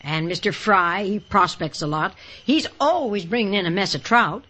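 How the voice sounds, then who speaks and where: A woman's voice: medium pitch, lively intonation, moderate pace, pushed and bright. An actress playing a chatty, middle-aged frontier mother who keeps a boarding house in a radio western. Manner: hospitable, motherly. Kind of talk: old-time radio western acting.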